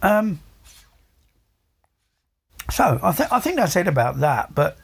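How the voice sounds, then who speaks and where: Gravelly, husky man on a podcast. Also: high voice, slow pace. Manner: relaxed, hesitant with many ums. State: voice getting croaky. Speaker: podcast co-host. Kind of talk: casual chat about audio gear.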